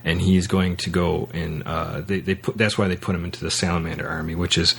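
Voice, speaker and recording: A man hosting a podcast, casually talking through a film in a halting way, circling back to repeat his words. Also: deep voice, fairly even intonation, vocal fry, fairly bright tone, a little hiss or hum. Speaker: podcast host.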